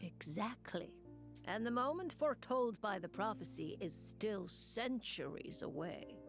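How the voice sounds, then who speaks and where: A woman's voice: mid-range voice, animated, creaky, soft background music. A woman voicing a wise, serene speaker of prophecy. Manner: slow, serene and grave.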